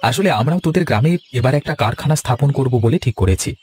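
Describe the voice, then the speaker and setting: A man's voice: mid-range voice, lively intonation, fast, a little mellow, lightly acted. A man playing a kindly, hearty village uncle in a cartoon, reassuring and avuncular. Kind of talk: cartoon voice acting. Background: instrumental music.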